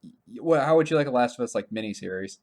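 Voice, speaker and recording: A man co-hosting a podcast in casual conversation, informal and thinking out loud as he talks. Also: mid-range voice, expressive, quick pace. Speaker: podcast co-host. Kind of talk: casual podcast chat about games and films.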